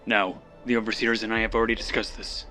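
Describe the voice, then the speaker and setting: Cold commander over loud music. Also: male voice, on the low side, fast talker. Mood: cold, commanding. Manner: calm, clipped orders. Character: cold, controlled military mission commander. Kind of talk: audio drama dialogue.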